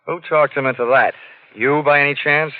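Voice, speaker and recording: An actor playing a wry, wisecracking, tough insurance detective in a 1940s radio drama. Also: male voice, a little high, fairly even intonation, normal speed, lightly acted. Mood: cynical. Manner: wisecracking, hard-boiled. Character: wry, tough male insurance detective narrating his case. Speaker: lead actor and narrator. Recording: tinny vintage 1940s radio recording, music.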